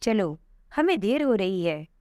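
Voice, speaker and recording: A woman voicing a calm, benevolent male god of death in a solemn, instructive storybook manner. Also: medium pitch, a little slow, no echo, clean studio sound. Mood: calm, granting.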